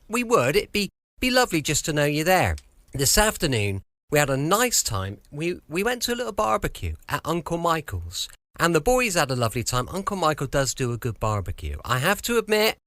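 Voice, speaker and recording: A man's voice: fairly high pitch, fairly bright tone, dry recording, clean studio sound. A British man hosting a podcast, chatting casually in a folksy, rambling way that repeats little phrases. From United Kingdom. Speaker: podcast host.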